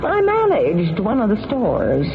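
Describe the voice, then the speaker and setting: An actress playing a widowed secretary questioned as a juror, giving brief, plain answers in an old radio drama. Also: female voice, medium pitch, wildly swooping pitch, unhurried, vocal fry, phone-quality sound, noisy background, exaggerated voice. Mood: reserved.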